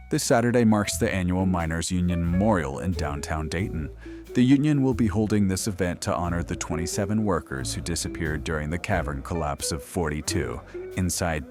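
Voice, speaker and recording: Very deep-voiced, ominous radio horror host. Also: male voice. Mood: wry, ominous. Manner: measured, wry, ominous. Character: eerie late-night horror radio host, ominously playful. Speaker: late-night radio host. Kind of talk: scripted horror radio narration.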